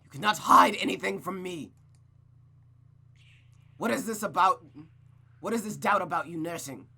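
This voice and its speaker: A man's voice: sits high, moderate pace, gravelly, pushed and bright, breathy edge, voice pushed up, full character voice. A man voicing a controlling, bitter mother in a visual novel, cold, commanding and lecturing. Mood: furious, menacing. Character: controlling, bitter, menacing mother, adult woman.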